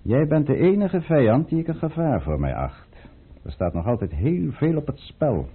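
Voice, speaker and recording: An actor playing a coolly calculating count and conspirator in a radio drama, speaking formally and deliberately with authority. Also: male voice, mid-range voice, very animated, husky. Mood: stern. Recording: muffled old radio play recording.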